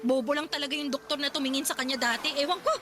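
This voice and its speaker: A woman's voice: high voice, steady tone, very fast, close to an everyday voice. An actress playing a worried young mother and wife, talking in a plaintive, pleading way. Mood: upset. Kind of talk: radio drama acting. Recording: voice over drama music.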